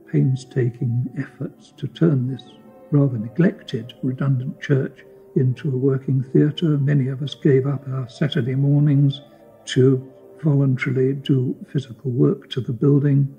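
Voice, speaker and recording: An older man with a British accent and a gravelly, husky voice, recounting memories. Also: mid-range voice, dark tone. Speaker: local interviewee, a doctor. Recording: slightly dull, muffled sound.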